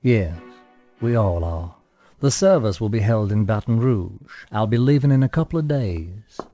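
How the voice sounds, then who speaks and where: A man playing a courteous but pointed Southern gentleman guest in an audio drama, polite and measured. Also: lowish voice, lively intonation, soft background music. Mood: somber.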